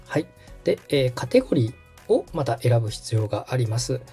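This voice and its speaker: A mellow, slightly husky man with lively intonation guides a learner as a host. He explains each step politely and methodically, with music underneath. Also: medium pitch, normal speed. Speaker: podcast host and radio director giving step-by-step guidance. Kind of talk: instructional walkthrough in dialogue.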